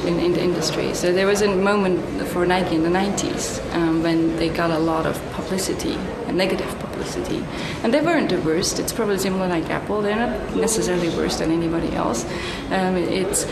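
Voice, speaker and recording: A woman gives casual, explanatory interview answers as an auditor. Her voice is on the low side. The sound is echoey, with loud ambient noise. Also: a little fast. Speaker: labor auditor being interviewed.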